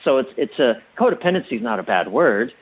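A man being interviewed as a guest, explaining things like a coach in a thoughtful, halting way and repeating phrases for emphasis. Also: mid-range voice, sing-song, breathy edge, phone-quality sound.

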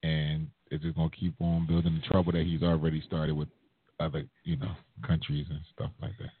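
A man's voice: very deep voice, level tone, a little fast, breathy edge, no room sound. A man co-hosting a casual talk show, easygoing and hesitant with filler words, on a tinny, dry recording. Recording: tinny phone-like, dry sound.

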